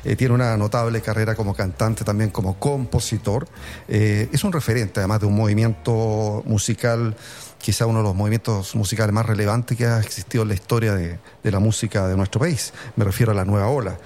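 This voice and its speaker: A man's voice: lowish voice, steady tone, fairly quick, a little mellow, a little hiss or hum. A man with a Chilean accent hosting a radio interview show, speaking in a friendly, presenting style. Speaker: radio talk show host. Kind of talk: formal radio introduction, then friendly interview. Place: hotel venue, voice on radio broadcast.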